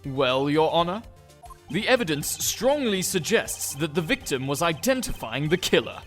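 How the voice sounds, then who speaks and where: A man's voice: on the high side, big pitch swings, moderate pace, a bit bright. A man voicing a smug, formal courtroom prosecutor in a video game fandub, speaking with condescending composure. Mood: stern, formal. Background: music.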